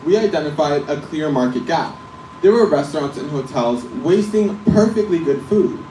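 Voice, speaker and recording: Young man from the Netherlands pitching. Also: expressive, normal speed, dark tone, slight background noise. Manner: poses questions and then answers them. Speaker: student presenter. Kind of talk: rehearsed business pitch. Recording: echoey room from a distance.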